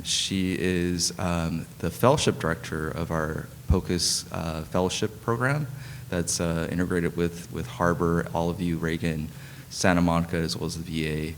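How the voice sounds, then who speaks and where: A man moderating a medical conference panel, introducing speakers in a hesitant way with many pauses. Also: sits low, slight background noise. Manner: frequent fillers and restarts. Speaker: conference moderator, emergency physician. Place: conference hall.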